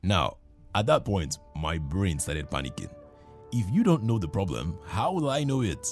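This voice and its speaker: A man, hosting a podcast and telling a comic story in a playful, animated way, acting out the dialogue. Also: low-pitched. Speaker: podcast host.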